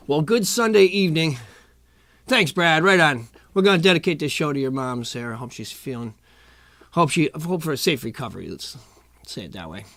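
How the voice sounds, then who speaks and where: A middle-aged man hosting a music live stream from home, chatting casually between songs in a low, rough morning voice, rambling and chuckling. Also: expressive, moderate pace, a bit bright, no room sound. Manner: laid-back, rambling, chuckles often. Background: acoustic guitar playing.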